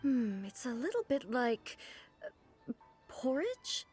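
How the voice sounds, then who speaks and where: An actress voicing a composed, formal divine ruler who speaks in a calm, measured way in a game cutscene. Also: female voice, high voice, slightly rough, faint music behind, heavily acted. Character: composed divine woman ruler, regal and curious.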